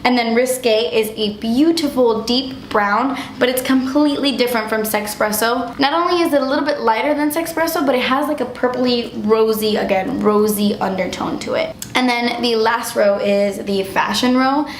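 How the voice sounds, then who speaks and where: Young woman beauty vlogger, bit high, chatty and gushy, hoarse from a cold, echoey room. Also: normal speed, hardly any pauses, slight background noise. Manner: gushing, chatty, lots of "like". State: losing her voice, getting sick. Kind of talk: casual product review.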